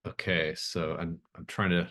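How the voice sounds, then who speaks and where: A man with a notably deep voice runs a tabletop roleplaying game. He narrates conversationally, improvising as he goes and pausing to think aloud. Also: flat delivery, a bit bright. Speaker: game master.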